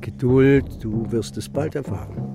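An actor playing a gruff, stubborn old shepherd grandfather who speaks tersely and bluntly in a children's radio play. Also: medium pitch, very animated, measured pace, music in the background. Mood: quiet, secretive. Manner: terse, blunt, answers with counter-questions.

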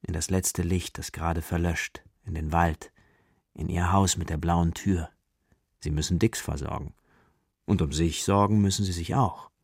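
A man with a gravelly, husky voice narrating a children's radio play as a storyteller. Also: low-pitched, steady tone, unhurried, no room sound, studio quality.

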